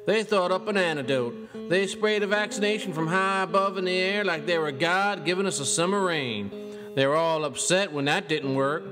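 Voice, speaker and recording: An actor playing a brash, boastful cowboy uncle and zombie hunter in an audio horror story, with a folksy, coarse American Southern drawl. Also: male voice, on the high side. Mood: nostalgic scorn. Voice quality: drawling country speech. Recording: long reverb, music underneath. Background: guitar music.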